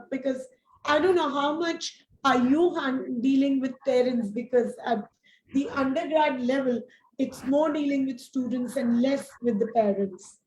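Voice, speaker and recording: Panel moderator, woman, high-pitched, conversational and wordy, roomy echoing sound. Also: darkish tone. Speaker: moderator of an education panel discussion. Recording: echoey room, faint background noise.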